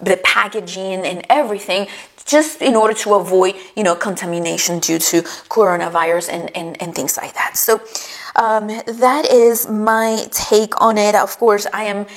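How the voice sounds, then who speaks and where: A woman's voice: mid-range voice, fairly even intonation, normal speed. A woman from Chile talking to her viewers in a casual vlog, explaining travel matters step by step with frequent fillers. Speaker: travel vlogger.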